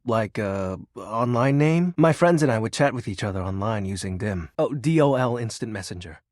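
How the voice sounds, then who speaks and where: Nerdy young shadow guy, video game dialogue, sheepish, wistful, lively swinging pitch, dry close sound. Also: no room sound. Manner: awkward, hesitant, sheepish. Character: shy nerdy living shadow in human guise, earnest and wistful.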